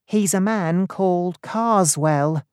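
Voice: mid-range voice, slow, a little mellow, clear, resonant tone, no echo, clean studio sound, pitched above the performer's own voice, close to an everyday voice. A narrator voicing an obliging female librarian in an audiobook story, polite and lilting. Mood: helpful.

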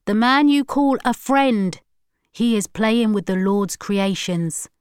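A woman's voice: mid-range voice, lively intonation, slow pace, smooth, no echo, pitched above the performer's own voice. A woman voicing a zealous, accusing religious man with a severe, preachy, declamatory manner.

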